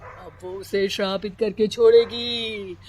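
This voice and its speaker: A woman's voice: mid-range voice, fairly even intonation, a little mellow. A woman voicing a fake village holy man in a dramatic manner for a cartoon story. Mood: ominous. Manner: slow, dramatic, menacing.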